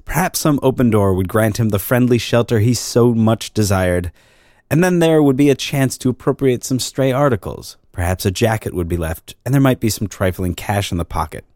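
A man reading an old crime story as narrator, in a measured, formal storytelling manner. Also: medium pitch, expressive, a little mellow, no echo, clean studio sound.

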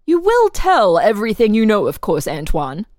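A woman's voice: mid-range voice, huge pitch swings, normal speed, a little mellow, no room sound, clean studio sound. A woman playing an official interrogator who puts formal, leading questions in a dramatic reading of a transcript. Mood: insistent, formal. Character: probing, insistent official interrogator questioning a murder suspect.

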